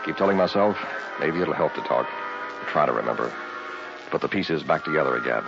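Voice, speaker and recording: Haunted, deep-voiced young medical student. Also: male voice, lively intonation, a little fast, vocal fry, heavily acted. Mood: haunted, brooding. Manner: brooding, dramatic first-person telling. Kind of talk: vintage radio horror acting and narration. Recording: dull vintage radio recording, music behind.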